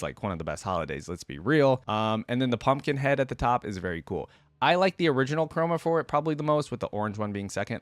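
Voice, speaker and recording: A man's voice: medium pitch, no echo. Casual man in a dry, close recording. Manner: slangy, talks directly to viewers. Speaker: YouTube host. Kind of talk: casual reaction commentary on video game skins.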